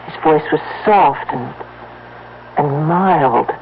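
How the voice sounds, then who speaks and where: A woman's voice: sits low, gravelly, full character voice. Frightened woman, muffled old radio. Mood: insistent, defensive. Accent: American. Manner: halting, emotional, emphatic. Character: frightened, insistent woman shaken by a strange sighting. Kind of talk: 1970s radio drama acting. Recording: muffled, noisy 1970s radio recording.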